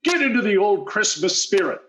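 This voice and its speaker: A man playing a jovial, self-important school board head in a radio sitcom, with a hearty, deliberate delivery. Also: sits high, very animated, a bit bright, roomy sound, slight background noise. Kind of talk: 1940s radio sitcom acting on stage.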